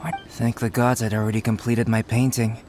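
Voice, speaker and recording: A man voicing a calm, curious young alchemist and painter in a video game, measured and scholarly. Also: mid-range voice, noisy background, natural voice. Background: game music.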